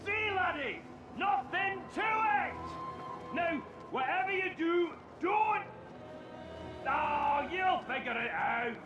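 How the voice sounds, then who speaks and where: An actor playing a jovial, eccentric dwarf tinkerer in a video game, with a folksy, teasing manner. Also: male voice, very high-pitched, expressive, takes it slowly, raised voice, lo-fi sound, full character voice. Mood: breezy, encouraging. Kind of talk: animated video game character acting. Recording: roomy reverb under game music.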